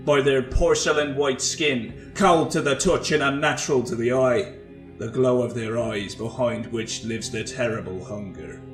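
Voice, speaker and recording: A man's voice: mid-range voice, unhurried, close to an everyday voice. A man voicing a grim, zealous vampire hunter with solemn, preaching delivery in a fantasy monologue. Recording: echoey, music behind.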